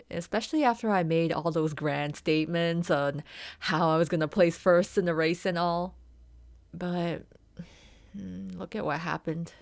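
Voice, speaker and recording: A woman voicing an injured, vulnerable young horse girl racer in a soft, confiding, hesitant ASMR roleplay. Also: lowish voice, no echo. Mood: wistful, downcast. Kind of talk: ASMR roleplay, scripted character acting.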